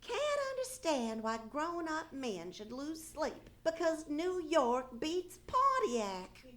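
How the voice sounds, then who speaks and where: Nagging, naive housewife and mother played by an actress, very high voice, lively swoops, old-time radio comedy. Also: takes it slowly, exaggerated voice. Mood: puzzled, doubtful. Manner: skeptical, puzzled questioning. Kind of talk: 1940s radio comedy acting, live on stage. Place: live theatre stage.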